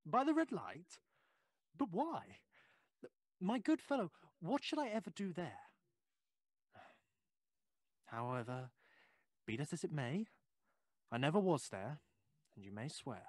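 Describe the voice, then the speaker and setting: A male actor playing a courteous, inquisitive Victorian gentleman in an audio drama, hesitant and effusive with old-fashioned phrasing. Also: a little high, sing-song, unhurried, long pauses, no room sound, clean studio sound. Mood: unnerved, protesting.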